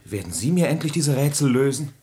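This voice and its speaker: An actor playing an earnest, impatient young gentleman suitor in a radio comedy, courtly and pleading. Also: mid-range voice, very animated, a little fast, lightly acted. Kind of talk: stage comedy radio acting.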